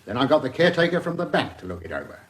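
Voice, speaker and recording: English police inspector, lively intonation. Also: male voice, mid-range voice, very fast. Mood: dutiful, factual. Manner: deferential, factual, careful. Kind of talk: radio drama acting.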